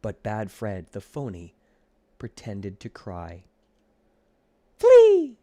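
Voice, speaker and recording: Slow, mellow man reading a storybook. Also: on the low side, dry recording, clean studio sound. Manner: expressive, deliberate storytelling. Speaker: audiobook reader. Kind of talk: scripted children's storybook narration in rhyme.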